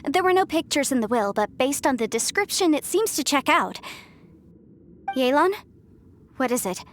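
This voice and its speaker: A woman's voice: sits high, lively intonation, normal speed, a little hiss or hum. A woman playing an earnest, businesslike young woman in a video game, speaking articulately. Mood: thoughtful. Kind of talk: game voice acting.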